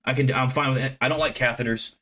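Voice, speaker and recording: A man's voice: medium pitch, fairly even intonation, quick pace, bright, forceful tone. Rambling, profane man in his thirties. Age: 30s. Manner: rambling, profane, self-deprecating. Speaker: streamer. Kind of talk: casual live-stream chat with viewers.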